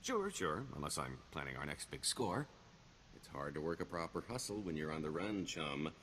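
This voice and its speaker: A roguish con man from a video game. His deep voice moves freely up and down, wry and theatrical, hiding a wistful streak behind glib bravado. Clear background ambience sits behind him. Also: sing-song, normal speed, a bit bright, slight background noise, exaggerated voice. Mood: pleased, scheming. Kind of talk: video game character dialogue.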